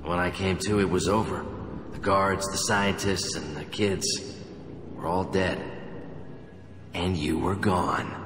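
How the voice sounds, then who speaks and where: A man's voice: low voice, fairly even intonation, slow, long pauses. A man playing a haunted, obsessive survivor of childhood experiments in a video game, speaking with brooding intensity. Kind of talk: video game cutscene acting. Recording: echoey hall, faint background noise.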